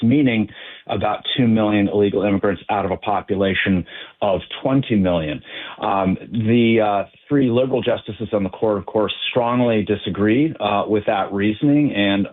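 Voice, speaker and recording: Low-voiced man on a tinny phone line. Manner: measured, explanatory, halting with many fillers. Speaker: legal analyst guest. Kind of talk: phone-in news interview. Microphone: telephone.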